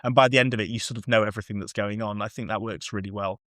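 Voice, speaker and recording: A man's voice: lowish voice, steady tone, very fast, a bit bright, no echo, clean studio sound. A man talking casually and enthusiastically as a guest on a review podcast, effusive in his opinions.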